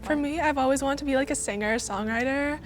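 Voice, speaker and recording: A young woman of eighteen, chatting in an upbeat, bubbly way. Her voice is notably high. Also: quick pace, a loud crowd. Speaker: dating show contestant.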